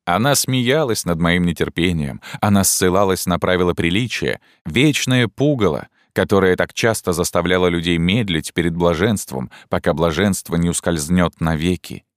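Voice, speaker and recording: Very deep-voiced male story narrator. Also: normal speed, hardly any pauses, no room sound, clean studio sound. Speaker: audiobook narrator. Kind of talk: literary story narration in first person.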